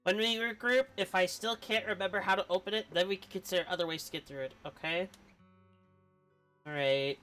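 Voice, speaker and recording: A male game streamer gives casual commentary, musing aloud. His voice is bright, crisp and a bit high, over faint music. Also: brisk.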